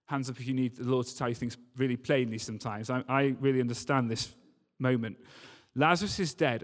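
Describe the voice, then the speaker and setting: A man preaching a sermon, reading scripture and talking it through in a conversational, informal way. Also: medium pitch. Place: large reverberant hall, church service. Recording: long hall reverb.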